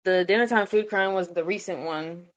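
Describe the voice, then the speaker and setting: Low-pitched, giggly woman chatting casually. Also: normal speed, highs cut off. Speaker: podcast host and story writer. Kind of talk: casual chat.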